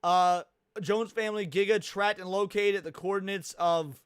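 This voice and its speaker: Male streamer, young, American, high voice, dry close sound, excitable and foul-mouthed in casual reactions. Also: normal speed, clean tone, no room sound. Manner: slangy, profane, excitable. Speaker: livestream host reacting to a video. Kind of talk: casual livestream commentary.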